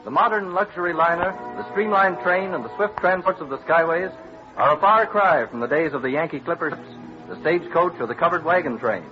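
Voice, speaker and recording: A man's voice: fairly high pitch, brisk, faint music behind. A man narrating an old radio drama in a formal, stately 1940s manner. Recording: muffled old radio recording.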